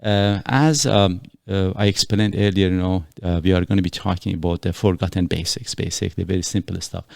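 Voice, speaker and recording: Deep gravelly middle-aged man from Iran. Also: fairly even intonation, fairly quick, hardly any pauses, no echo, clean studio sound. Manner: earnest, rambling explanations with many fillers. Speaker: podcast host. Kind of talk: casual podcast chat.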